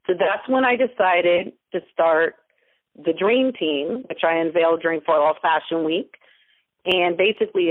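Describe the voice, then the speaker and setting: A woman's voice: on the low side, no echo. A woman guest who mentors others and runs businesses, talking emphatically and piling up repeated motivational phrases. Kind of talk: interview over a phone line. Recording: dry, tinny phone-line audio.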